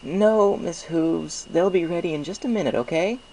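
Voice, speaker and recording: Man putting on a high-pitched cheerful pony shopkeeper. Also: moderate pace, gravelly, slight background noise, poor audio. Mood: chatty, helpful. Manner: bright, eager, folksy shopkeeper. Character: cheerful, chatty male cartoon pony baker.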